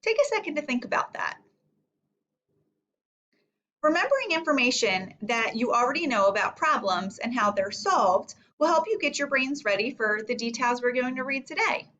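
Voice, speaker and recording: A woman's voice: sits high, very animated, moderate pace, sharp tone, lo-fi sound. A woman teacher giving a read-aloud lesson to young children, thinking aloud and guiding them with questions. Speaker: elementary reading teacher.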